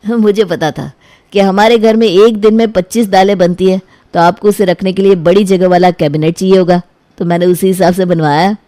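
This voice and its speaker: An earnest young suitor, acted by a woman, pleading and reassuring in a storybook tale. The voice is coaxing, mid-pitched for a woman and recorded dry. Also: fairly even intonation, a little fast, mellow, dry recording, lightly acted. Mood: hesitant, eager. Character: earnest, pleading young man eager to marry. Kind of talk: animated storybook dialogue.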